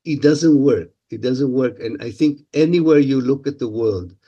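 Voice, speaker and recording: A man's voice: mid-range voice, moderate pace, warm tone, dull audio. A man whose first language is Hebrew, from Israel, answering interview questions with many filler sounds and restarts.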